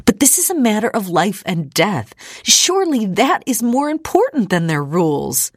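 An impassioned young woman from an audiobook, acted by a female narrator, indignant and pleading. Her voice leaps high as she exclaims, in a very dry recording. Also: sing-song, slow, breathy edge, no echo, full character voice. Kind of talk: audiobook character acting.

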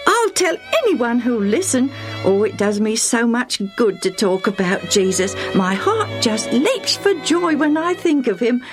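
A woman's voice: a little high, sing-song, measured pace, soft background music, voice pushed up. A woman voicing a happy, earnest healed man in a storybook tale, gushing with emotion. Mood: joyful.

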